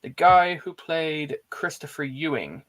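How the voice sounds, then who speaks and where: A man's voice: on the high side, lively intonation, a little slow, fairly bright tone. A man co-hosting a casual movie chat in an informal, blunt, sweary way. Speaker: film discussion show co-host.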